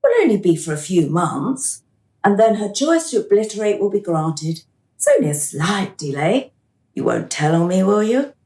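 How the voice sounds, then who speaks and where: An actress as a female bureaucrat of a dystopian afterlife, in radio drama style. She is cool, smug and officious, with a mocking edge. Her mid-pitched voice rings in a roomy, echoing space. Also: big pitch swings, moderate pace, warm tone. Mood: sly, intimate.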